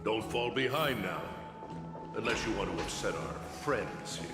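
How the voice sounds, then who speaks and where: A male actor plays a sardonic old warrior mentor in a fantasy game. His voice is fairly low and highly animated, theatrical and full of pointed rhetoric. It rings with long reverb over music. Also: moderate pace, close to an everyday voice. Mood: sly, commanding. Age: older. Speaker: mentor and storyteller. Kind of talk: dramatic game voice acting.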